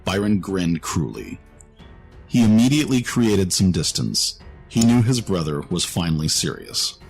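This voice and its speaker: A man's voice: deep voice, big pitch swings, a little slow, a little mellow, faint music behind. A man reading scripted narration for a fantasy story in a storytelling style.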